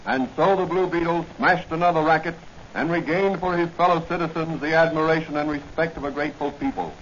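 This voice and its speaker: A man's voice: on the high side, steady tone, a little fast. A man narrating a 1940s radio serial as its announcer, with a formal, rhetorical delivery. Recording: dull old radio recording, faint noise.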